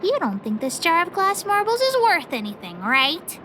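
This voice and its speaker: A woman voicing a bossy, excitable little girl who leads a gang of kids, self-important and cheeky, in a video game. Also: very high voice, moderate pace, slight background noise, heavily acted. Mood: indignant, proud. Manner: self-important, cheeky, refers to herself by name. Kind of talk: video game character voice acting. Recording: music under the voice. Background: background music.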